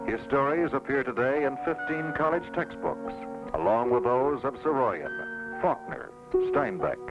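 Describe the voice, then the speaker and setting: A man delivers scripted documentary narration in a mid-pitched voice. The soundtrack is dull and lo-fi, with music clearly heard behind him. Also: lively intonation, a little slow.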